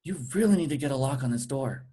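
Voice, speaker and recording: A man's voice: mid-range voice, expressive, fast, mellow, husky. A man playing a smug, flippant alien villain in a comedy table read. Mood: mocking. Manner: sarcastic, flippant. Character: smug male evil alien twin, sarcastic villain.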